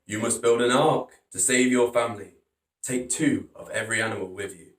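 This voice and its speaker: A man voicing God in a children's storybook, a solemn, commanding, deep voice from the clouds. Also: fairly quick, close to an everyday voice. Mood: grave, commanding. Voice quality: deep, booming. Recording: roomy echo, distant from microphone.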